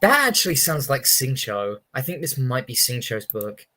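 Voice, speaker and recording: A woman's voice: extremely low pitch, big pitch swings, moderate pace, pushed and bright. A woman livestreaming a game, giving casual commentary and reading text aloud. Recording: game music behind the voice. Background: game music.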